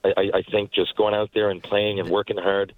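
A man's voice: lowish voice, little pitch movement, brisk, no echo, rough recording. A man in his forties from Newfoundland, a guest who talks in a heartfelt, rambling way with many restarts. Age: 40s. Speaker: guest, retired hockey player. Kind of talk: casual interview talk.

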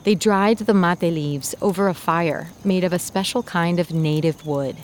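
A woman hosting a podcast, reading a scripted story in a measured, clear storytelling voice. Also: lowish voice, a little hiss or hum. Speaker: podcast host and narrator. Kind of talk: scripted narration.

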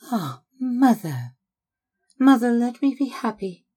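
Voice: mid-range voice, sing-song, takes it slowly, mellow, pitched above the performer's own voice. A narrator voicing a starry-eyed young actress, breathless and theatrical, in an audiobook. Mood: pleading. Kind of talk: audiobook character acting.

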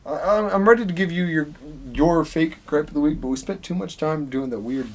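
A man's voice: on the high side, fairly quick. A man co-hosting a podcast in casual, digressive chat, swearing offhandedly as he rambles. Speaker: podcast co-host.

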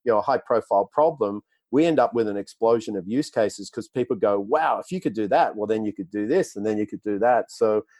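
A man's voice: lowish voice, brisk, hardly any pauses, darkish tone, dry recording. A man with an Australian accent, answering questions as a tech company executive, often restarting and repeating words. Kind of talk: podcast interview answers.

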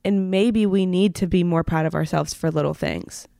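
A woman co-hosting a podcast, chatting casually with a friend, playful and teasing and quick to giggle. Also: lowish voice, gravelly, mellow, dry recording, studio quality. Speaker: podcast co-host.

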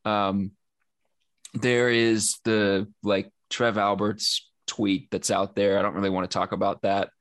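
A man's voice: lowish voice, flat delivery, measured pace, fairly bright tone, dry recording. A man hosting a sports podcast, talking in a loose, conversational way with frequent fillers and restarts. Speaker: podcast host. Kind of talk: casual sports podcast chat.

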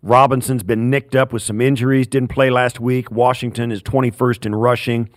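A man's voice: mid-range voice, flat delivery, moderate pace, dry recording, clean studio sound. An older man, a former player co-hosting a sports podcast, chatting in an easygoing, self-deprecating way. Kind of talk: casual sports chat.

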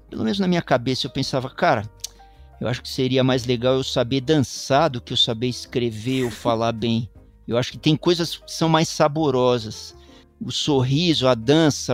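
Reflective Paulistano man, unhurried musing. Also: medium pitch. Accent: Paulistano Brazilian Portuguese. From São Paulo, Brazil. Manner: thoughtful, meandering, many restarts and tag questions. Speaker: guest musician. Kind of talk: reflective interview talk.

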